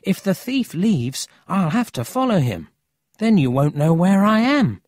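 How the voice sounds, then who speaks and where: An earnest, watchful country boy in a storybook, acted out by an adult reader. He speaks in a raised, lively pitch with a careful, serious manner and bristles easily. The sound is close and dry. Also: a little slow, dry recording. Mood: urgent. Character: earnest, cautious country boy on a thief's trail.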